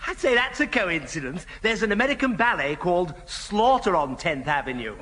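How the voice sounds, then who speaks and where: An actor playing a prim, timid and easily flustered gentleman in a radio sitcom, fussy and very polite. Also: high-pitched, sing-song, brisk, exaggerated voice. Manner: prissy, polite, fussy, hesitant. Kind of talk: 1970 radio sitcom acting. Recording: hissy old radio broadcast, reverberant theatre hall.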